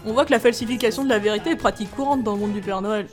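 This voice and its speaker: A woman's voice: on the high side, very fast. A woman giving commentary in mock-documentary style. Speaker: commentator in a satirical investigation video. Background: background music under the voice.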